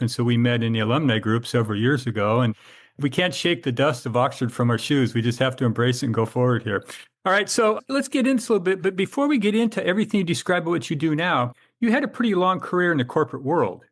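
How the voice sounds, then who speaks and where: A man hosting a podcast, opening the show and asking his guest questions in a friendly, measured way. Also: mid-range voice. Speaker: podcast host. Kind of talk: podcast interview.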